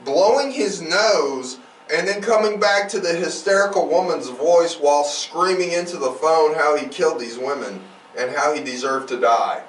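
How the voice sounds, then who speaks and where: A man's voice: on the high side, expressive, moderate pace. A man hosting a true crime podcast, telling the story in a casual, emphatic and profane conversational way. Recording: roomy, distant mic, faint noise.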